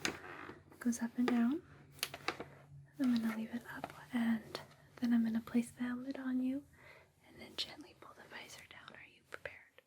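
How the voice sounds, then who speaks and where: A woman performing a whispered ASMR roleplay, gentle, soothing and unhurried, close on a binaural microphone. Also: fairly high pitch, level tone, very noisy.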